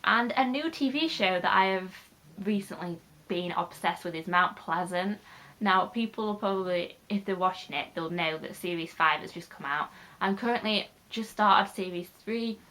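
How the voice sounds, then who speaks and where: A woman's voice: lowish voice, normal speed, pushed and bright, rough recording. A young British woman with a slightly nasal voice from a cold, rambling chattily through a casual vlog. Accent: British English. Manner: rambling, chatty, with frequent fillers. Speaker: YouTuber.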